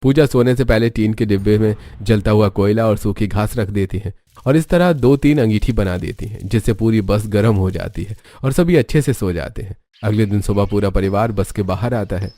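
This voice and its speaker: A man's voice: lowish voice, normal speed, hardly any pauses, dark tone, dry recording. A man reading scripted story narration for a cartoon tale.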